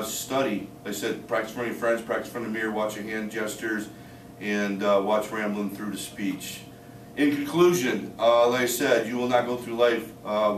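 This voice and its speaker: A man in his thirties giving a student speech, talking plainly with many "uh" fillers and rushing in spurts. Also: lowish voice, slight background noise. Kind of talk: informal classroom speech. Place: small room with a few listeners. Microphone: camera across the room. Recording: echoey room from far off.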